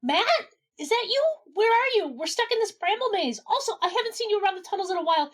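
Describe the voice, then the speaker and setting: A woman playing a brisk, wry gnome adventurer in a comedy audio drama. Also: extremely high pitch, animated. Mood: wry, curious.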